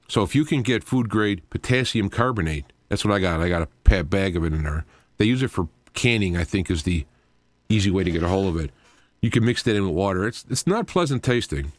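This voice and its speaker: A man's voice: low voice, no room sound. A man hosting a live radio show in an informal, rambling monologue, explaining things as he goes with frequent asides.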